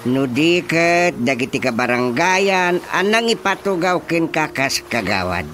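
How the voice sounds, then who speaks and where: Stern village chairman, Ilocano accent, highish animated delivery, drawn-out mocking questions for radio comedy. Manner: deliberate, drawn-out, interrogating. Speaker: radio drama actor. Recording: music behind the voice.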